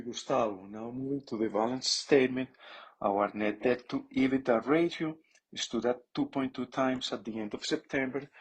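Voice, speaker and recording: A man's voice: medium pitch, breathy edge, low-quality recording. A man presenting company finances from a script on an earnings call, in a formal corporate manner.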